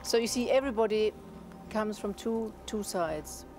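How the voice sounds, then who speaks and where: Woman chiming in with quick corrections. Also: medium pitch, darkish tone. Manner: brief, helpful corrections. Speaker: companion on the estate tour. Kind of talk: casual interjections. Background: music.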